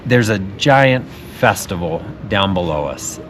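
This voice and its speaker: A man with a fairly low voice talks casually and reflectively, as a travel vlogger would. Clear ambient noise sits behind him. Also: expressive, measured pace. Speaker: travel vlog host, nonprofit representative. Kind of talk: casual reflective talk to camera.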